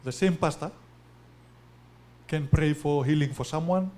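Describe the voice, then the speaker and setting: A man asking a question from the audience at a forum, hesitant and deliberate in his phrasing. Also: on the high side, darkish tone.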